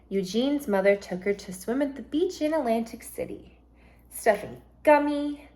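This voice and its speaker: A woman reads aloud as a teacher would to young pupils, with a lively, swooping intonation. Her pace is slow and deliberate, and her delivery is instructive, made for children following a storybook and learning new words. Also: a little high. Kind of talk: storybook read-aloud with vocabulary explanations.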